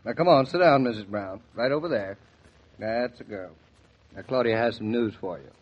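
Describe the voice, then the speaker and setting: A man's voice: fairly low pitch, moderate pace, lightly acted. Man acting a playful husband, muffled 1940s radio. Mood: teasing. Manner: playful, bantering, mock-grand delivery. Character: teasing, good-humored young husband, newly married.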